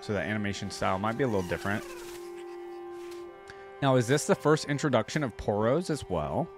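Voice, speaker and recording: A man's voice: on the low side, expressive, a little fast, over music. A man hosting a reaction video with informal, easily impressed commentary, reading things out and reacting as he goes.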